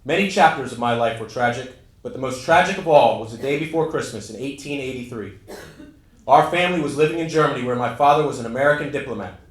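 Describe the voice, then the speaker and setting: A man's voice: medium pitch, quick pace, lightly acted. A man playing a formal congressman who recounts his family history in a scripted first-person monologue on stage. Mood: grave. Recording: echoey room, live stage reading.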